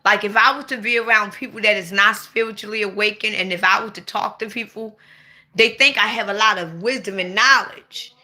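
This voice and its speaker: Woman, 40s, very bright sharp tone, blunt, playful, loud bursts. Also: mid-range voice, a little fast. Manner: blunt, playful, swings to sudden loud outbursts. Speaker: livestream host. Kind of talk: casual livestream chat.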